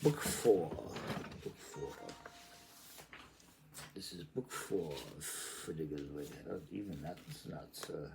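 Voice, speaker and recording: Very deep-voiced man reading aloud theatrically. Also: slow pace, vocal fry, over music. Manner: theatrical, declamatory when reading; rambling, muttering to himself between passages. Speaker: reader of a literary novel. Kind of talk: informal read-aloud with muttered asides.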